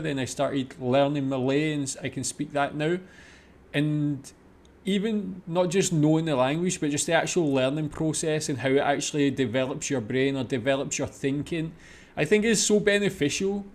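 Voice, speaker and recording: A British man giving casual, halting off-the-cuff commentary as a reaction host, peppered with "like" and "kinda". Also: on the high side. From Britain. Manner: halting, hedging with many "like" and "kinda".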